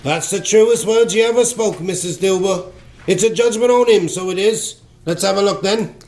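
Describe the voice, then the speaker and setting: An actor in a community radio play, playing a sly, gossiping rag dealer who talks colloquially and with mock generosity. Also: male voice, sits high, moderate pace, a little mellow. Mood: smug.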